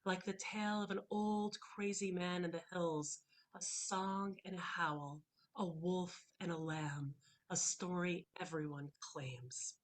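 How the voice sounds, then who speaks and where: A woman poet whose first language is Hebrew talks through her work with many pauses and restarts, then reads slowly and deliberately. Her voice sits on the low side, and she speaks into a computer microphone on a video call. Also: fairly even intonation. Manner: thoughtful, hesitant between poems, slow and deliberate when reading. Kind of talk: poetry reading with commentary.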